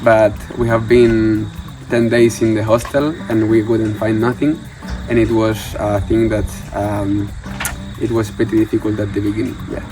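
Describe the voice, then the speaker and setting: Young Spanish man, halting English. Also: lowish voice, little pitch movement, music in the background, low-quality recording. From Barcelona, Spain. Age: 20s. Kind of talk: casual interview answers.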